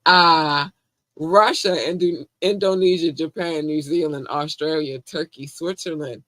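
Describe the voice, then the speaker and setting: A man with a Baltimore accent hosting a podcast, chatting casually and warmly shouting out his listeners in slangy talk. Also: a little high, no echo. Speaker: podcast host. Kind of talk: casual live-stream chat.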